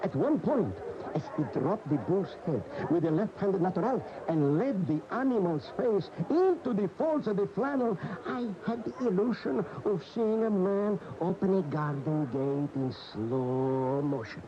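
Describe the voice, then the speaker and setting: An actor in a radio drama playing an emotional bullfight devotee, recalling his idol reverently with dramatic, halting phrases. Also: sits high, expressive. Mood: rapt, nostalgic. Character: admiring bullfight aficionado reminiscing, emotional and reverent. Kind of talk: 1980 radio drama monologue, interview-like recollection. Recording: dull, noisy 1980 AM radio recording.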